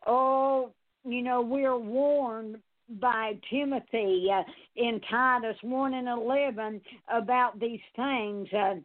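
A woman's voice: fairly high pitch, fairly even intonation, slow pace, dry recording, narrow, tinny audio. A woman preacher delivering an exhorting sermon, often punctuated with short interjections of praise.

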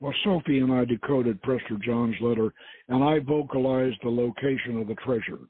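A man voicing a shrewd, uneasy male archaeologist in an adventure story, matter-of-fact and measured. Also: mid-range voice, steady tone, lightly acted. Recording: muffled phone-like lo-fi audio.

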